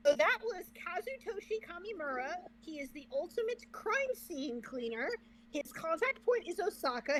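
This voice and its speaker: High, very lively woman chatting. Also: low-quality recording. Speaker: reaction co-host. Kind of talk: casual reaction chat.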